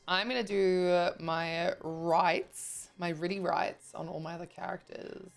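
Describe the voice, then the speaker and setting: A woman with a croaky, gravelly voice talks casually through a live game stream, chatting with and thanking her viewers. Her voice sits on the low side, and faint music plays behind her. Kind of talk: casual live-stream chat while gaming.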